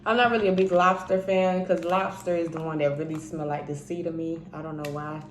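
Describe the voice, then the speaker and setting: A woman's voice: lowish voice, fairly even intonation, fairly quick, roomy sound, a little hiss or hum. Tipsy chatty woman in her twenties. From Indiana, raised in Miami and Georgia. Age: 20s. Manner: chatty, chews and hums while talking. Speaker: mukbang vlogger. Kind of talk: casual eating vlog chat.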